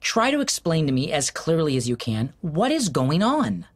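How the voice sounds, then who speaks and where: A rattled, husky-voiced husband. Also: male voice, a little high, huge pitch swings, moderate pace. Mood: coaxing. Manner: flustered, stammering, cursing. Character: bewildered middle-aged husband woken at night. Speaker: husband Jim. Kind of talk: audio drama acting.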